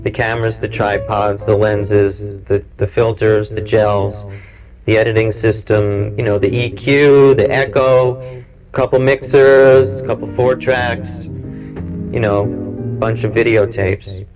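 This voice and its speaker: A man in his forties hosting a show, talking informally in a musing, halting, rambling way. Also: fairly low pitch, level tone, music in the background, poor audio. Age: 40s. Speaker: cable TV show host and producer. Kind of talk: informal interview talk and reminiscence. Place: small equipment-packed studio. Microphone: clip-on mic.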